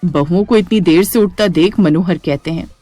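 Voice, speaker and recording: A woman's voice: lowish voice, moderate pace, mellow, dry recording. A woman narrating a scripted moral story. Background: music.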